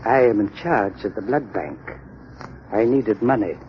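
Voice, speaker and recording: A cold-blooded male villain played by a radio actor, boastful and deliberate in his menace. The 1930s broadcast recording is muffled, with clear hiss and noise. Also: on the low side, close to an everyday voice. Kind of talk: 1930s radio drama acting.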